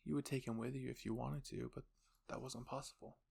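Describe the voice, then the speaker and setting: A man narrating scripted fan fiction as the host, with a gravelly, husky voice. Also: mid-range voice, steady tone, brisk, a little mellow.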